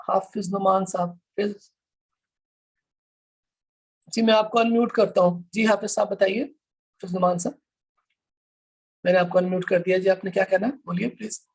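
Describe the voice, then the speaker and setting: A man with a Pakistani accent and a high-pitched voice teaches an online class. He explains in a didactic way, restating points for emphasis and slipping English terms into his speech. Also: fairly even intonation, normal speed, pauses often, rough recording. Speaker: law lecturer. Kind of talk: online lecture, explaining to students.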